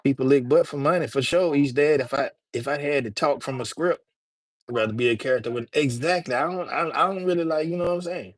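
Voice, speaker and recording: A man's voice: on the high side, quick pace, dry recording. A man from Alabama, hosting a livestream and chatting casually with his viewers in slangy talk. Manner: loose, slangy, talks straight to chat. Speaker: livestream host.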